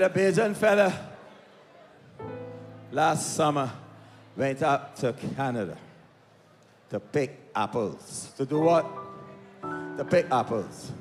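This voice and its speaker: Slow-talking Barbadian man on a reverberant stage. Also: on the high side. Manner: unhurried storyteller acting out dialogue with pauses. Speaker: singer entertaining on stage. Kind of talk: comic storytelling and stage banter to a live crowd. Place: outdoor festival stage. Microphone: stage microphone through PA. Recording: live PA with long reverb, music behind.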